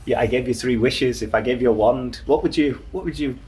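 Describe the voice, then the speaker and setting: A man's voice: mid-range voice, a little fast, darkish tone. A man conducting a casual, conversational interview in a chatty manner, often affirming what is said.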